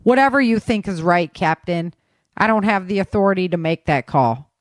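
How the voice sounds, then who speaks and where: A woman's voice: on the low side, lively intonation, normal speed, dry recording, studio quality, close to an everyday voice. Woman reading as blunt, defiant army major. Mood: curt, restrained. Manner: curt, protesting, commanding.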